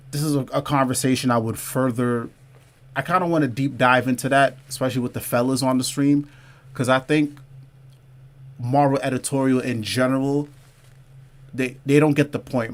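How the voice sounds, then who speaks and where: A man hosting a casual comics livestream, talking loosely to his chat, reading out viewer comments and repeating phrases to drive a point home. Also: medium pitch. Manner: says y'all and man, asks viewers to comment below. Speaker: comic book livestream host.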